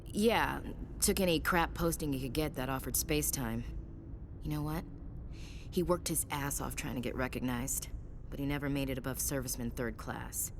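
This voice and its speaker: Game role: down-to-earth female soldier, notably low pitch, frank informal talk, faint rumble behind. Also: moderate pace. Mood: fond, open. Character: tough, candid female soldier, protective big sister. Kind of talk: naturalistic video game dialogue acting.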